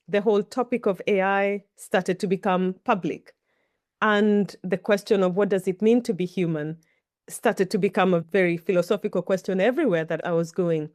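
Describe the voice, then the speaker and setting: A woman's voice: mid-range voice, a little mellow, no room sound, studio quality. A middle-aged Kenyan woman, a native Kikuyu speaker, answering interview questions in a thoughtful, storytelling way. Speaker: guest. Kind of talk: reflective interview talk.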